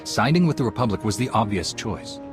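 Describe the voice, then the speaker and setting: An actor playing a calm, resolute Jedi commander in a video game, speaking in a measured, earnest, authoritative way. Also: male voice, lowish voice, over music, close to an everyday voice.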